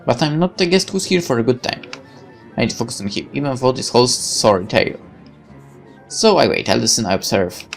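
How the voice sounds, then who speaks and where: A man reading a visual novel's narration aloud in a wry, measured storytelling way. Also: medium pitch, lively intonation, gravelly, soft background music. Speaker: gaming video narrator.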